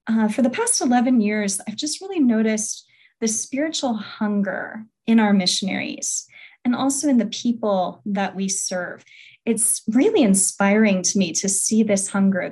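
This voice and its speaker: A woman's voice: medium pitch, a little mellow. A woman hosting a podcast, speaking in a conversational interview style. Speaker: podcast host.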